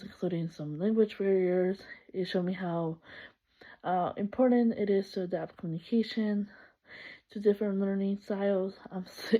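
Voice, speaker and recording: A woman's voice: mid-range voice, warm tone. A young woman from El Salvador introducing herself for a class, chatty and upbeat, laughing often and correcting herself. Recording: slightly muffled lo-fi recording.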